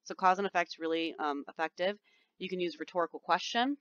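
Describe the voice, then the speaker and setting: A woman's voice: lowish voice, fairly quick, fairly bright tone, highs cut off. A woman teacher with a somewhat whiny voice, recording casual step-by-step instructions for her class on her computer microphone, with frequent ums. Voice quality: whiny, nasal-sounding voice. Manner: chatty, reassuring, explains step by step with frequent ums. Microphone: computer microphone, screen recording.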